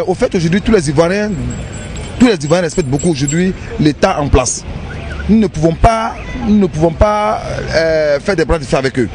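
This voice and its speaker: A man's voice: high voice, darkish tone. A man with an Ivorian accent giving an emphatic, repetitive street interview outdoors. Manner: emphatic, repeats phrases. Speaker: aggrieved resident interviewed on the street. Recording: noisy outdoor street with traffic.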